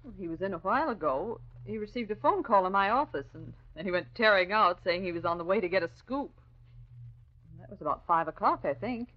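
A pert, efficient female secretary acted by a woman in a vintage radio play. Her delivery is brisk and teasing, with animated swings in pitch. The old recording sounds muffled. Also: close to an everyday voice. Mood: helpful, businesslike. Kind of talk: 1940s radio drama acting.

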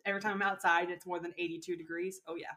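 A woman's voice: on the low side, flat delivery, racing, sharp tone. A young woman co-hosting a podcast, chatting casually and telling a laughing story to her friends. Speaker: podcast co-host. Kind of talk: casual chat.

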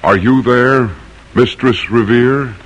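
An actor serving as a formal, courteous narrator in an old radio drama, speaking deliberately. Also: male voice, medium pitch, very noisy, natural voice. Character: formal, courteous male narrator questioning people of history. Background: music.

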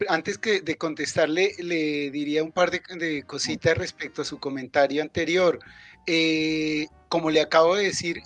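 A man's voice: fairly high pitch, a bit bright, no room sound. A middle-aged man discusses literature as a guest author, explaining discursively with many hesitations. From Colombia. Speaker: author, guest at a book presentation.

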